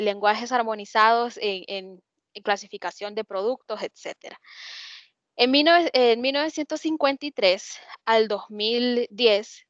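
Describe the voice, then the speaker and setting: A woman with a Honduran accent delivers a lecture in a fairly high voice, pausing often to hesitate and restart her sentences. The sound is dull and lo-fi, with a dry, close feel and no room echo. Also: fairly bright tone. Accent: Honduran Spanish. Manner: explanatory, many hesitations and restarts. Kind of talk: online lecture.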